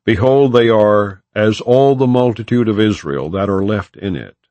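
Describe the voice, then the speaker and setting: A man narrating an audiobook of scripture in a solemn, measured, formal, declamatory way. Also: on the low side, warm tone, dry recording.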